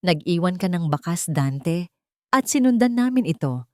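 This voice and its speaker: A woman voicing a sharp-minded female investigator with a Filipino accent, steady and firmly accusing, in an audio story. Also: lowish voice, moderate pace, dry recording, studio quality. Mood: firm accusation. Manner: firm, steady.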